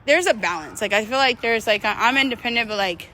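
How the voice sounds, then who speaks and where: Bright-voiced young woman, candid and chatty. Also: on the high side, quick pace, slight background noise. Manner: conversational, frank, swearing, expressive. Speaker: vlogger. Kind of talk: candid personal storytelling to camera.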